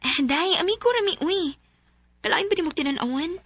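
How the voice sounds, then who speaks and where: An actress playing a young office worker torn between two men in a Cebuano radio drama, speaking in a pleading, emotional way. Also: female voice, extremely high pitch, expressive, brisk, fairly bright tone, no room sound, full character voice. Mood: defensive.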